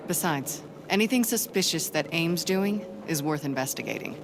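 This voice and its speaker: A composed, resolute spy in a video game. Her voice is low for a woman and she keeps it cool and matter-of-fact. Music plays clearly behind her. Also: expressive, normal speed. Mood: focused, resolute. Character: composed, resolute female spy, warm toward her old lover. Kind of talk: cinematic video game acting.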